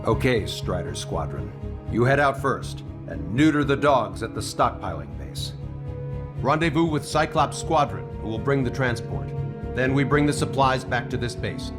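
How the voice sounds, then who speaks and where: An actor playing a level-headed military squadron leader, matter-of-fact and commanding, in a game cutscene. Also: male voice, medium pitch, expressive, normal speed, over music, natural voice. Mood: businesslike. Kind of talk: game cutscene briefing dialogue.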